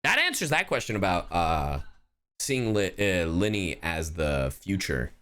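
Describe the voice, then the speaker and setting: Casting: male streamer, low and unhurried, casual reaction style, informal, thinks out loud with lively swings in pitch. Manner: informal, muttering and thinking aloud. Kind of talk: casual live reaction commentary.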